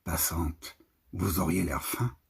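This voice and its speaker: A man with a husky, raspy voice reciting a literary poem expressively, in measured, declamatory phrases with marked pauses. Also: low-pitched, fairly even intonation. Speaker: poetry reader.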